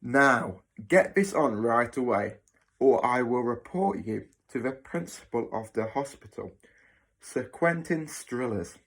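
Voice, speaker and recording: A man's voice: medium pitch, a little slow, a bit bright. A man voicing a cruel, bossy hospital matron in a children's story, snapping and mocking with sarcasm. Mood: scornful, snapping.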